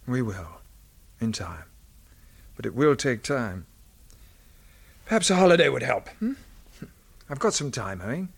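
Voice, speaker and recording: A man's voice: medium pitch, big pitch swings, measured pace, gravelly, long pauses, husky, full character voice. An actor playing a guilt-ridden middle-aged husband and father with a British accent, genteel and placating, in a supernatural screen drama. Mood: guilty, subdued.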